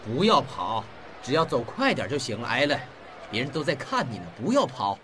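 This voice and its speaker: A man's voice: mid-range voice, very animated, normal speed, noisy background, lightly acted. An actor playing an anxious, protective middle-aged husband and father in a radio drama, speaking in an urgent, agitated way. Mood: urgent, hushed. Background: dramatic music.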